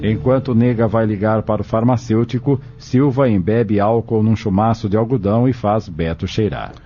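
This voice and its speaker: A man's voice: on the low side, normal speed. A man reading scripted narration for an audio drama. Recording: muffled recording over soft music.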